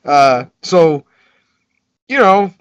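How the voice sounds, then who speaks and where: High-voiced, swearing man on a video call. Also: very animated, extremely slow, clear, resonant tone, no echo, dull audio. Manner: loud, profane and joking. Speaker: wrestler guest. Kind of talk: casual video call chat. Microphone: video call webcam.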